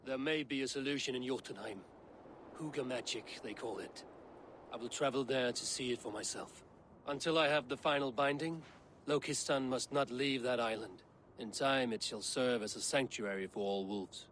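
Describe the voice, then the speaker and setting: A man speaking in a solemn, measured way as a video game hero recounting visions. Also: mid-range voice, fairly bright tone, a little hiss or hum. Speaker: protagonist. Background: game music.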